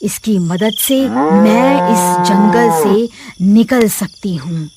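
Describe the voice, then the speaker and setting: A woman's voice: medium pitch, lively intonation, extremely slow, very noisy. Woman playing a scheming, dramatic forest witch. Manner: plotting aloud, dramatic. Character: scheming female forest witch seeking to possess a body. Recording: loud animal and night ambience behind.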